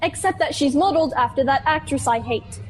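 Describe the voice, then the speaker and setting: A woman's voice: sits high, expressive, a little fast. A young woman playing a sarcastic, grumbling teenage girl in a sci-fi radio play, blunt and sardonic. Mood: irritated.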